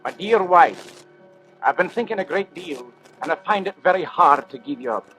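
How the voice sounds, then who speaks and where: An actor playing a regretful husband reading out an earnest letter in a radio drama. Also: male voice, fairly high pitch, lively intonation, moderate pace, sharp tone, soft background music, poor audio. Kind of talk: old-time radio drama acting.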